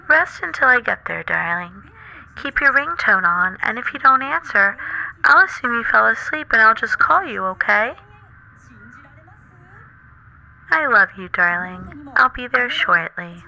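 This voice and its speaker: A woman playing a sweet, doting young anime girlfriend in a soothing ASMR roleplay, affectionate and gently coaxing. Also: a little high, lively intonation, moderate pace, vocal fry, lo-fi sound, heavily acted. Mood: gentle, practical.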